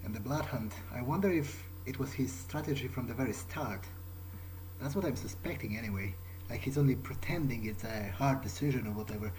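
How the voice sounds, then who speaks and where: A man's voice: medium pitch, fairly quick, a little mellow, a little hiss or hum, rough recording. A man doing in-character gameplay commentary, thinking aloud in a hesitant, musing way. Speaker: let's player. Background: game ambience.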